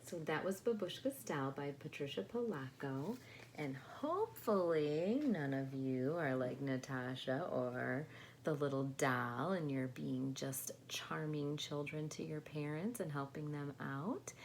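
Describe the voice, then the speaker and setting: Woman storyteller, slightly low voice, very slow pace, expressive children's read-aloud, faint background noise. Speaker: storybook reader.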